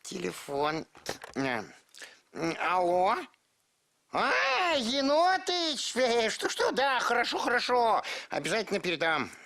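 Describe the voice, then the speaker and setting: A woman's voice: medium pitch, sing-song, slow, a bit bright, heavily acted. A woman voicing a cheerful, childlike little male creature in a children's cartoon, hesitant, naive and eager. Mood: hesitant, busy.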